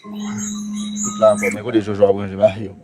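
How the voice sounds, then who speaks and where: A man's voice: fairly low pitch, big pitch swings, normal speed, over music. A man talking in a casual chat.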